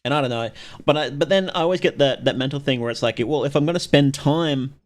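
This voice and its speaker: A man hosting a livestream, chatting casually with viewers in a rambling, halting way and often interrupting himself. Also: mid-range voice. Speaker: livestream host.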